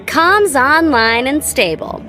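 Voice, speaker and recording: A woman's voice: high voice, expressive, slow, pushed and bright, full character voice. An American actress voicing a playful, nonchalant young female gun-tech tinkerer in a video game, with a light, girlish, teasing delivery. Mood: cocky, playful. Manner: teasing, breezy, casual. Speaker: voice actor for a video game character. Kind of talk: scripted game character voice lines. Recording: studio voice over background game music. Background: background music.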